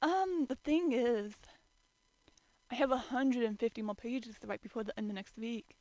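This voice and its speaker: A woman's voice: fairly high pitch, moderate pace, darkish tone, dry recording, close to an everyday voice, low-quality recording. A woman voicing a playful, breezy adult man with a teasing, singsong manner, in an amateur story reading. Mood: breezy, evasive.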